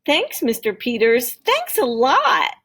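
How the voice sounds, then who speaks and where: A woman's voice: high voice, huge pitch swings, slow, sharp tone, husky, pitched above the performer's own voice, exaggerated voice. A woman voicing an earnest, polite young boy in a children's storybook, with simple, sincere phrasing. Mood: grateful.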